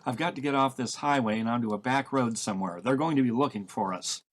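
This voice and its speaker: A man voicing a sharp, tough-talking woman on the run in a novel reading, blunt and sarcastic. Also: mid-range voice, fast, close to an everyday voice. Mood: urgent, sarcastic.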